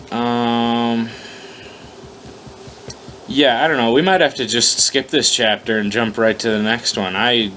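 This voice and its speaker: Man, bright forceful voice, casual and sweary, self-deprecating amateur story reader, faint noise behind. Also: on the low side, poor audio. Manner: self-deprecating, profane, stumbling over lines. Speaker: host reading own fan fiction aloud. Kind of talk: casual profane commentary and informal story reading.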